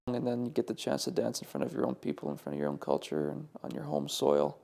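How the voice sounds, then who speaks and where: Gravelly young man, lively halting speech. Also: medium pitch. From Canada. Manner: halting, restarts and fillers, emphatic. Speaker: professional Ukrainian dancer. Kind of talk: interview answer.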